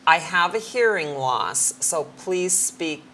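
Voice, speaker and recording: A middle-aged woman with a fairly low voice talks through practical hearing tips in a friendly, down-to-earth way. She sometimes slows right down to show how to speak clearly. Faint noise sits under the recording. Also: lively intonation, sharp tone. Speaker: hearing care professional, guest. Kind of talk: conversational advice on a talk show.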